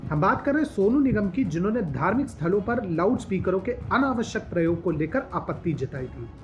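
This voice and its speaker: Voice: medium pitch, a little mellow. A news commentary narrator of unclear sex reads a scripted voice-over in an emphatic, rhetorical manner, in a dull lo-fi recording. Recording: dull lo-fi audio over music bed. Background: background music.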